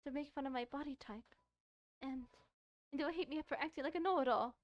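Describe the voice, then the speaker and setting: A woman's voice: high-pitched, fast talker, no room sound, muffled recording, close to an everyday voice. A woman voicing a shy, bookish, self-doubting schoolgirl from a visual novel, hesitant and earnest. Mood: wistful, shy.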